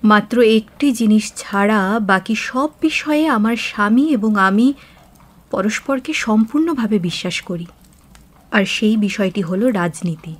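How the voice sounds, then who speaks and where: An actress playing an anxious, dignified aristocratic wife in a radio drama, speaking formally with earnest urgency. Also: female voice, mid-range voice, unhurried, natural voice. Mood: earnest, troubled.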